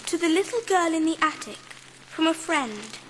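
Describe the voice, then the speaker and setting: A woman playing an excited, kind young girl full of breathless wonder in a dramatised audiobook. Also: extremely high pitch, moderate pace, slight background noise, rough recording. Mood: awed, joyful.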